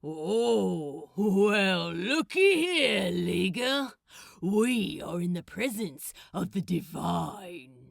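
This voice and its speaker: A woman voicing a boorish, gloating male demon in a story, with a loud, coarse, mocking manner and a creaky voice. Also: mid-range voice, big pitch swings, very slow, no echo, clean studio sound, heavily acted. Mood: mocking menace.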